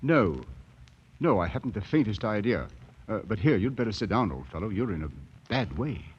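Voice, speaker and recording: A man's voice: on the low side, big pitch swings, normal speed, a little hiss or hum. An actor playing a reserved British newspaperman in India, narrating in an articulate, proper manner in a 1950s radio drama with a British accent. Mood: startled, concerned. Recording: muffled vintage 1950s radio broadcast, music behind.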